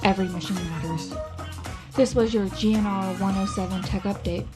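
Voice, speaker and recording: A woman's voice: mid-range voice, fairly even intonation, moderate pace, over music, close to an everyday voice. A woman playing a patriotic wartime science correspondent, reading a scripted radio news report in an earnest, polished way. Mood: earnest, resolute. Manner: earnest, polished, newsreader delivery.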